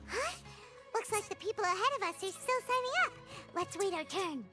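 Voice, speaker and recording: A woman voicing a small, chatty, excitable fairy companion in a video game, with a squeaky childlike voice. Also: a little fast. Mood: surprised, curious. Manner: bubbly, expressive, refers to self in third person. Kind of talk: English video game voice acting. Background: game music.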